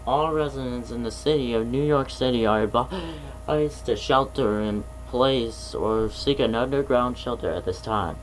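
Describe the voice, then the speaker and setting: A man's voice: medium pitch, a little slow, few pauses, natural voice, poor audio. A man playing an official emergency alert announcer, reading scripted warnings in a formal, serious, instructional way. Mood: serious, urgent.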